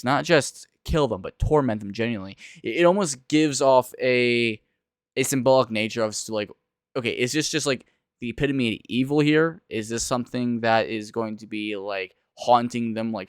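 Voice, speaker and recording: A young man with a smooth, clear voice in a very dry recording. He talks like an online reviewer, casual and rambling, and leans on words for emphasis. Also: mid-range voice, dry recording. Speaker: video reviewer and streamer. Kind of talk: casual reaction commentary.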